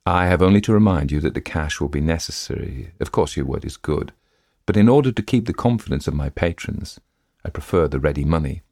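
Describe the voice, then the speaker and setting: A man's voice: very deep voice, animated, a little fast, gravelly, a little mellow, full character voice. A man voicing a smiling, cautious gambling-house banker in a storybook, with polite, formal manners. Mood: courteous, guarded.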